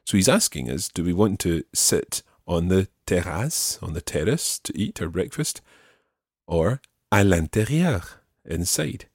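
Slow, low-voiced male language teacher. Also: lively intonation, a little mellow, breathy edge, dry recording, clean studio sound. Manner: patient, repeats phrases slowly. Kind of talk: explaining French phrases in English.

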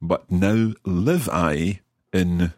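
A man hosting a language podcast lesson, speaking clearly and patiently and repeating phrases slowly. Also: deep voice, no room sound, clean studio sound. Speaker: language course host. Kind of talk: scripted podcast lesson chat.

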